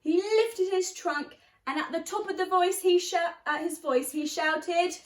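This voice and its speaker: A woman reading a children's story aloud like a teacher, expressively and deliberately, stressing key words. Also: very high-pitched, slight background noise. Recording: roomy, echoey sound.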